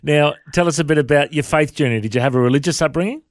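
A man's voice: a little high, fast talker, dry recording, clean studio sound. A man with an Australian accent hosting an interview in an easygoing, chatty way with light joking. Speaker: podcast host.

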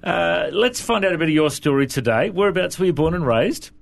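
A man hosting a television interview in a studio, upbeat and friendly and quick to laugh. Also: on the high side, lively intonation, creaky. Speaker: TV host.

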